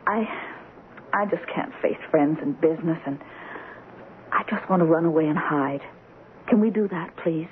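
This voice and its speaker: Actress as young American wife on old radio. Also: female voice, low voice, moderate pace, pauses often, natural voice. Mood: fragile, pleading. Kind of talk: old radio drama acting. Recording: muffled, hissy old radio broadcast.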